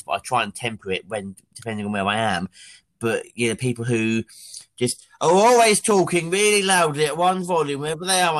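A man co-hosting a podcast in easy chat, at a middle pitch for a man. He repeats himself as he starts sentences, laughs often and jumps into animated bursts. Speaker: podcast co-host. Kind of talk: casual chat.

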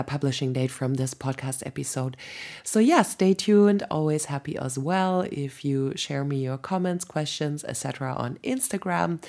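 A woman hosting a podcast, talking in a chatty, loose way with a raspy voice from a sore throat, often laughing and filling pauses with "um". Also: very deep voice, a little mellow. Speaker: podcast host. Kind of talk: casual spoken podcast monologue.

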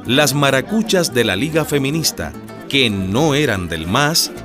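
A man narrating a political radio drama from a script, stressing key phrases deliberately. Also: mid-range voice, animated, music in the background, lightly acted. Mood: storytelling.

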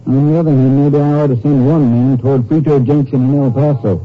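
An actor playing a practical, cooperative small-town Texas sheriff in a 1950s radio drama, talking in a plain, folksy way. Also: male voice, medium pitch, steady tone, fast, lightly acted. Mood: practical, concerned. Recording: dull, noisy old radio recording.

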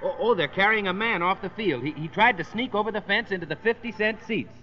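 An actor playing an excitable spectator calling out baseball action in a 1930s radio comedy. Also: male voice, high-pitched, fast talker, lightly acted. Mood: excited. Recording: muffled, noisy old radio broadcast.

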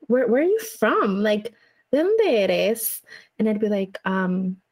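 Young woman from Chihuahua, casual co-host, animated, laughing storyteller. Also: mid-range voice, unhurried. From Mexico, Chihuahua. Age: young adult. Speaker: podcast co-host. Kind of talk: casual chat.

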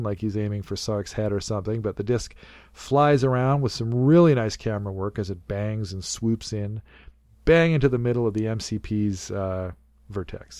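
A man in his late forties talks through a film on a podcast, his voice sitting low. He rambles, restarts sentences and drops little laughing asides. The sound is close and dry with no room around it. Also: darkish tone, no echo. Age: 40s. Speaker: podcast co-host. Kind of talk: casual movie commentary chat.

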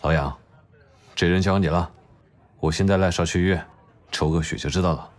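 Man acting a low-voiced, sardonic, commanding guy. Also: fairly even intonation, a little fast, a little hiss or hum, natural voice. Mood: angry, commanding. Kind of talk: Chinese audio drama acting.

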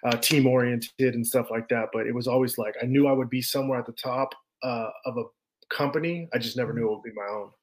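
A man's voice: mid-range voice, fairly even intonation, fast talker. Thirty-something man telling his story casually. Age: 30s. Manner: loose, rambling storytelling with many fillers. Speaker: podcast guest, business owner. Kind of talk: casual conversation.

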